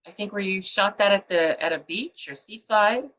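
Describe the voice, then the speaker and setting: A woman's voice: mid-range voice, normal speed, a bit bright. A woman publisher hosting a book show over a video call, chatty and rambling with many fillers. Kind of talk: casual interview chat.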